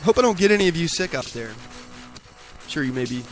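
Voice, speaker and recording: A sick man hosts a casual talk podcast, his voice worn as it starts to give out. He speaks in halting starts and often repeats words. His voice sits slightly high for a man. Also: sing-song, faint music behind. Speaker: podcast host. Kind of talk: casual political talk show.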